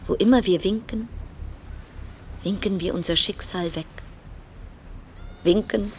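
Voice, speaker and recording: Brooding radio play narrator woman, slow n deliberate, kinda low voice, chanty repetitive phrasing, some noise behind. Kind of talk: literary radio play monologue.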